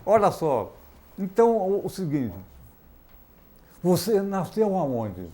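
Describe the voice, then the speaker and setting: Slow, joking talk-show host. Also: male voice, high voice, very animated, dark tone. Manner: playful, joking, slow and drawn out. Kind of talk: casual TV interview. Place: university TV studio.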